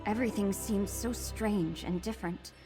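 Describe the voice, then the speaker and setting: A woman's voice: mid-range voice, normal speed, music in the background, close to an everyday voice, rough recording. A woman playing an earnest, sheltered young woman with hidden powers in a fantasy video game, speaking formally.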